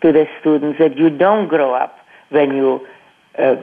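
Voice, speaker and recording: An older woman giving her personal testimony in an interview, speaking halting, non-native English with frequent restarts and repeated words. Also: low voice, steady tone. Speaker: Holocaust survivor guest. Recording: tinny phone line.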